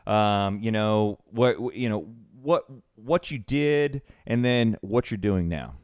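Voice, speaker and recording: Slow, low-voiced man in casual chat. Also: darkish tone, clean tone, muffled recording. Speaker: podcast host.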